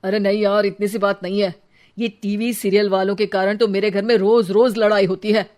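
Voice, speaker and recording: Exasperated young husband played by a woman, pitched down, weary peacemaker in a cartoon family drama. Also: flat delivery, a little fast, darkish tone, lightly acted. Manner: pleading, mildly exasperated. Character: weary young husband caught between wife and mother.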